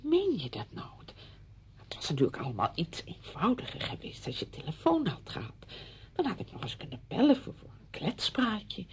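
A woman's voice: medium pitch, huge pitch swings, normal speed, a little mellow, slight background noise. An actress playing a chatty, apologetic married woman visiting a friend in a radio drama, effusive, rambling and flustered. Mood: apologetic, gushing.

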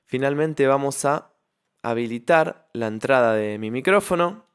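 Guy doing a tutorial voiceover, normal pitch, close mic, walks you thru it step by step. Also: animated, measured pace. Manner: clear step-by-step explaining. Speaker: tutorial presenter. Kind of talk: instructional software tutorial narration. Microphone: close microphone voice-over.

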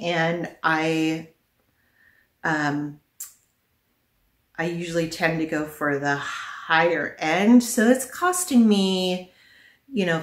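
A middle-aged woman vlogger talks casually through products, thinking aloud as she goes. Her voice sits a little low and moves at a very leisurely pace. The sound is clearly echoey, picked up by a camera mic in a living room. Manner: chatty, unscripted, thinking aloud. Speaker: vlogger presenting a product haul. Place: small living room at home, indoors.

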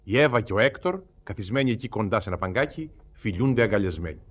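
A man's voice: lowish voice, animated. A man narrating a radio play as a scripted storyteller, setting out the scene.

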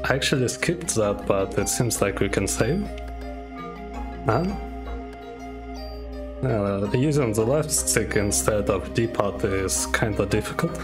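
A young man with a Russian accent, speaking English as a second language. He streams a game and thinks aloud, with many pauses, hums and filler sounds. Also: fairly low pitch, expressive. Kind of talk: casual gameplay commentary. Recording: game music playing under the voice.

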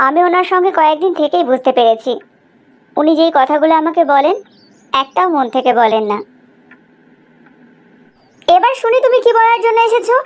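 A woman's voice: very high voice, expressive, moderate pace, pauses often. A woman playing a confident, teasing young newlywed wife in a cartoon drama, with emphatic questioning. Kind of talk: animated cartoon drama dialogue.

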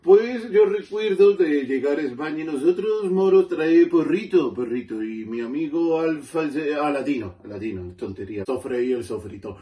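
A man playing a cheeky immigrant man who speaks in broken grammar and tells deadpan one-liners. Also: a little high, distant mic, lightly acted. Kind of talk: stand-up character comedy.

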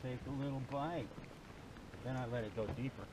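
A man's voice: mid-range voice, steady tone, moderate pace, noisy background. Distant man bragging on a boat. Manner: cocky, playful bragging. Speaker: fishing partner. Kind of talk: casual chat on a boat. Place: outdoors on a boat.

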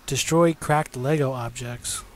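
A man's voice: medium pitch, unhurried, a little hiss or hum. Fields: man, 30s, headset gamer dad. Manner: relaxed, fatherly, fiddling with audio settings. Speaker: parent streaming a game with his daughter. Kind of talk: casual family gameplay chat. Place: small home room with a gaming chair. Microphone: gaming headset.

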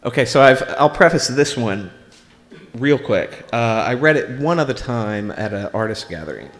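An American man reading his own writing aloud at a live open mic, in a deliberate, measured way with pauses between short phrases. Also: mid-range voice, animated. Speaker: spoken word performer reading own writing. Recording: live recording in a reverberant room.

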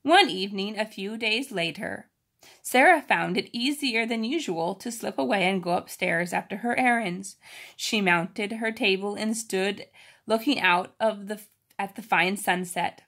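A woman's voice: mid-range voice, a little slow, a bit bright. Woman reading a children's story aloud. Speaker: storybook reader.

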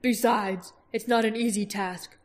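A woman narrator voicing a gruff young male warrior leader who speaks firmly and commandingly. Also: medium pitch, fairly even intonation, a little slow, close to an everyday voice.

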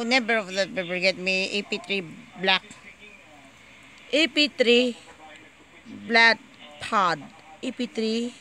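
A person presenting a casual home unboxing video in a halting, disjointed way, often repeating words. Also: medium pitch, bright, forceful tone, poor audio. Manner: halting, disjointed, repetitive delivery. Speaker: amateur video maker showing gadgets.

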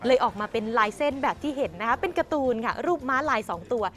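A woman's voice: on the high side, lively intonation, quick pace, soft background music. A woman entertainment news presenter narrating a scripted feature in a chatty, polite manner. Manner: chatty, frequent polite particles.

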